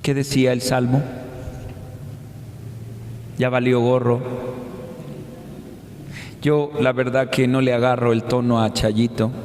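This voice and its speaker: Slow-spoken Mexican priest in an echoey church. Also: male voice, mid-range voice, flat delivery, darkish tone, a little hiss or hum. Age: 50s. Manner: conversational, rhetorical questions, deliberate pauses. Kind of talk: homily. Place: large reverberant church. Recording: echoey hall with long reverb.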